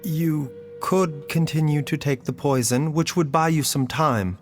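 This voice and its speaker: Man voicing a calm, measured doctor. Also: a little high, natural voice. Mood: grave. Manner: measured, grave, gentle. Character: calm, composed male doctor. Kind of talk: video game cutscene dialogue. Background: game music.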